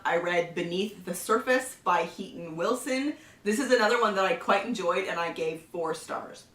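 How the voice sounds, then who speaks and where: A woman with a cold hosting a casual book review, chatty, often laughing and clearing her throat. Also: mid-range voice, a bit bright. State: has a cold. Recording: roomy echo, somewhat far from the mic.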